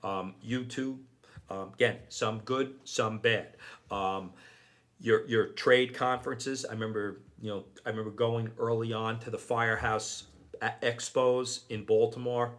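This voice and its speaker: A man's voice: fairly low pitch, steady tone, unhurried, fairly bright tone. A middle-aged man, a deputy fire chief giving earnest advice in a podcast interview, often repeating himself and adding "okay".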